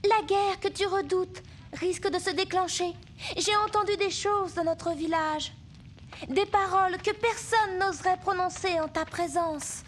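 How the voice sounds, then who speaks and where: A woman playing a gentle, brave young princess in a dubbed cartoon, with a girlish, earnest manner. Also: very high voice, steady tone, measured pace. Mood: worried, earnest. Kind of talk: dubbed animated film acting. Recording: music behind the voice.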